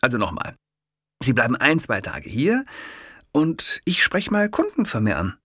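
A man's voice: medium pitch, huge pitch swings, a bit bright, breathy edge, no echo, clean studio sound, voice pushed up, heavily acted. A man putting on a chatty, pushy kiosk owner. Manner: eager, rapid, stumbling over words.

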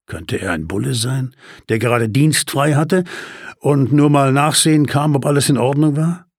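A man narrating a first-person crime story as an audiobook, measured and tense with dry humor. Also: medium pitch, no room sound.

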